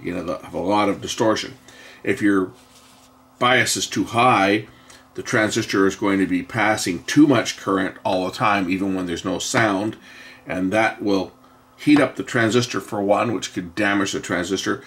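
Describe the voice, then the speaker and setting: A man giving an unscripted, hands-on technical explanation in a halting way, often pausing and repeating himself to correct what he says. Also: fairly low pitch, a bit bright. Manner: halting, self-correcting, with frequent pauses and repeats. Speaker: host of an electronics repair channel.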